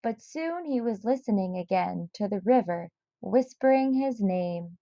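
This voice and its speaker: A woman reading a children's story aloud in an expressive narrating style. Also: medium pitch, measured pace, no room sound, muffled recording. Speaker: storybook reader.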